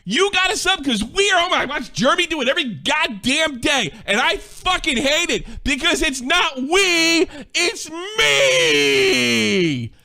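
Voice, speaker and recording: A man's voice: extremely high pitch, sing-song, a little slow, creaky, pushed and bright. A man in his forties, a streamer in a played clip, delivering an angry, profane and indignant rant. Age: 40s.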